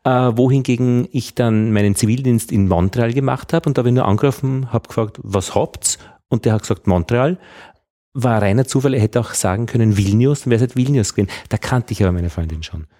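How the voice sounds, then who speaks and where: Halting, wry Austrian man in conversation. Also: mid-range voice, mellow. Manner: halting, rambling storyteller, wry. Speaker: radio journalist hosting a conversation. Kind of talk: casual conversational talk.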